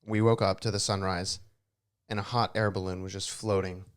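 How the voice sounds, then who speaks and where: A young man co-hosting a podcast, joking and teasing in casual chat, with a slightly raspy throat. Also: sits low, little pitch movement, normal speed. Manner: joking, playful, self-deprecating. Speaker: podcast co-host.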